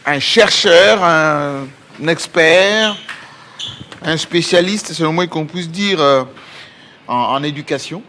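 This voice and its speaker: A man's voice: fairly high pitch, takes it slowly, pushed and bright, a little hiss or hum. A man from Congo hosting a TV interview in a studio, talking hesitantly with many fillers and repeated words. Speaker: TV host.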